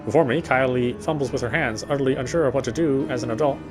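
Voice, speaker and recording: Man, mid-range voice, measured dramatic narration, occasional stumbles, game music behind. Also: mid-range voice. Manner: measured, with stumbles over the text. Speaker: game streamer reading aloud. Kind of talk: dramatic read-aloud narration of game text.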